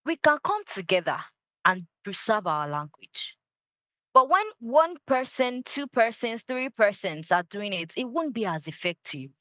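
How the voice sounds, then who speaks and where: A woman's voice: a little high, big pitch swings, unhurried, sharp tone, dry recording. Passionate woman, dry sound. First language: Yoruba. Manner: passionate, emphatic, repeats key phrases. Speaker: guest, culture advocate. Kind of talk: interview answers.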